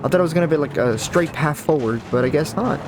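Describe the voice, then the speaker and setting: A man with a deeper, just-woke-up morning voice, chatting casually and rambling over his gameplay as a gaming video host. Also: fast talker, noisy background. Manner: chatty, rambling, self-correcting. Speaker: gaming YouTuber. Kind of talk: casual let's-play commentary. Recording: voice over loud game audio.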